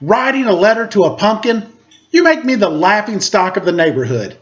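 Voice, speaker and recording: Man voicing a bossy, scornful young girl, lively swings. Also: sits high, moderate pace, lo-fi sound, voice pushed up. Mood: exasperated. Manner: complaining, scolding.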